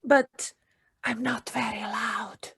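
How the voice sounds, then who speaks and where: A woman's voice: fairly high pitch, steady tone, slow pace, gravelly, a bit bright, breathy edge, no echo, studio quality, exaggerated voice. A woman playing a timid, gloomy, self-doubting little mole in an amateur audio play, speaking in a meek, hesitant manner.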